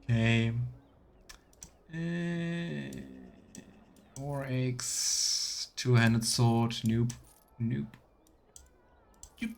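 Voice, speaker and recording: A man streams a video game in a slow, halting way, murmuring to himself and thinking aloud. His voice sits at a middling pitch, with keyboard clicks and noise behind it. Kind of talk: casual let's play commentary.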